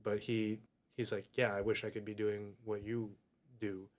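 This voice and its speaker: A man with a lowish voice co-hosts a casual podcast. He talks in an easygoing way with plenty of slang. He sounds friendly and unhurried. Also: little pitch movement. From grew up in a Filipino family in the US. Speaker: podcast co-host.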